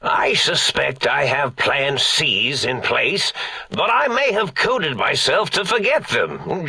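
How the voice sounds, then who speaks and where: Mad scientist villain, eccentric and forgetful, theatrical ranting, sharp bright tone, slow drawn-out delivery, game voice, distant echoey sound. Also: male voice, fairly high pitch, full character voice. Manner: theatrical, grandiose, muttering and rambling. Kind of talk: video game voice acting.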